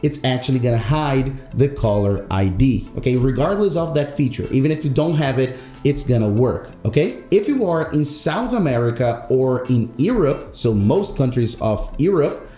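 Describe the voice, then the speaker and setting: A man's voice: mid-range voice, lively intonation, moderate pace, mellow, echoey room, faint music behind. A man hosting an instructional tech tutorial, explaining step by step in an emphatic, repetitive way. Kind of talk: instructional screen-recorded tutorial narration.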